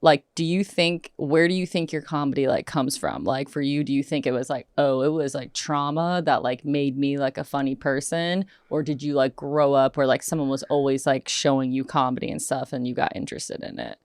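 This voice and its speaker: A woman's voice: extremely low pitch, brisk, few pauses, a little mellow, no echo, clean studio sound. A woman in her thirties hosting a podcast, chatting casually, swearing freely and acting out quotes. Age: 30s. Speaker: podcast host. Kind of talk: casual chat.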